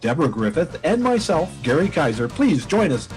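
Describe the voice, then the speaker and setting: A man's voice: on the high side, sing-song, normal speed, darkish tone, faint music behind. A man acting as announcer for a radio play, reading scripted closing credits in a formal way.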